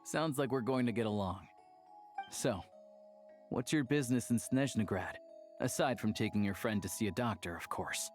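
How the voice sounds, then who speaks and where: A man's voice: on the low side, normal speed, faint music behind, natural voice. An actor playing an easygoing, worldly young man in a video game, talking in a conversational, confiding way. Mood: friendly, knowing. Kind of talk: video game dialogue acting.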